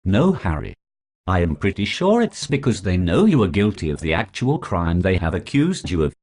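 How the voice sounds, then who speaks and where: An actor playing a foul-mouthed prosecuting lawyer who talks with sarcastic contempt. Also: male voice, low-pitched, very animated, moderate pace, darkish tone. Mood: scornful. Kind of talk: comedy sketch acting.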